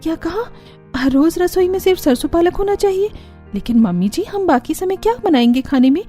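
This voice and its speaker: A woman's voice: extremely high pitch, lively intonation, brisk, warm tone, soft background music, pitched above the performer's own voice. A woman voicing a naive young newlywed daughter-in-law who exclaims and questions in a cartoon story. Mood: shocked, questioning.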